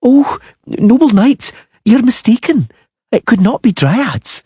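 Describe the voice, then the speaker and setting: A man's voice: very high voice, very animated, slow pace, dark tone, slightly rough, no echo, studio quality, voice pushed up, heavily acted. A man voicing a frightened but clever young girl in an audiobook reading, speaking in a trembling voice. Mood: trembling, insistent. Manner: trembling, pleading.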